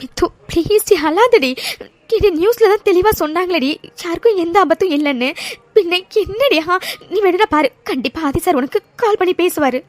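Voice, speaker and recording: Woman acting a high-pitched, coaxing best friend. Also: animated, brisk. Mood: consoling. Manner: coaxing, earnest. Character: loyal young female friend soothing a crying girl. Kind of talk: melodramatic audio serial acting.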